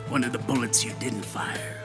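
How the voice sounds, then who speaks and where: A man's voice: mid-range voice, normal speed, vocal fry, music in the background, natural voice. A man playing a needling, darkly wry talking bullet in an audio drama. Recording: reverberant studio effect, long echo.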